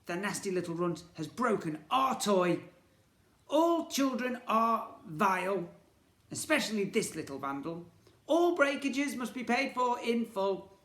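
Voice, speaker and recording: Man putting on sneering old shopkeeper, high-pitched and animated. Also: a little slow, reverberant, heavily acted. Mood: snarling. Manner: sneering, bickering, mock innocent. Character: evil, sly old male twin toy shopkeepers.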